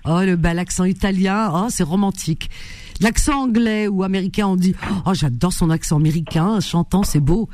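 Expressive woman radio host. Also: lowish voice, moderate pace. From Algerian roots. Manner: exclamatory, expressive. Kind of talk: call-in radio talk.

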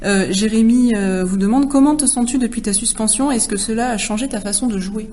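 Woman, interview host, dark mellow tone, reading out questions, light background noise. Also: fairly high pitch, a little fast. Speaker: host relaying supporters' questions.

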